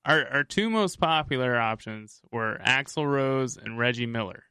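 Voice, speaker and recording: A man filling in as co-host jokes around in a bright, crisp voice at middle pitch. He keeps things light with laughs. The sound is dry and close. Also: unhurried, no echo. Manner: joking, laughs between lines. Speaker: guest co-host. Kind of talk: casual chat.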